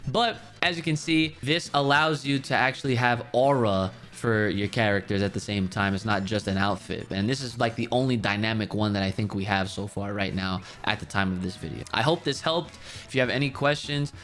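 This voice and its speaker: A man giving a casual software tutorial, explaining things conversationally and speaking directly to the viewer. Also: lowish voice, fairly quick, few pauses. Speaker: tutorial host. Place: voice-over on a screen recording of a game.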